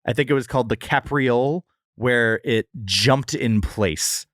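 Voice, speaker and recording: A man's voice: medium pitch, a little slow, a bit bright, dry recording. Male podcast co-host for comic banter; jokey exaggerating storyteller, close dry recording. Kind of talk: casual comedic podcast banter.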